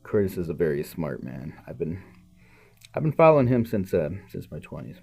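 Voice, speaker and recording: A man's voice: low-pitched, big pitch swings, brisk, creaky, dark tone, husky. A man hosting a podcast, reading a book aloud and breaking off into casual, musing commentary. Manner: musing, informal asides to the listener.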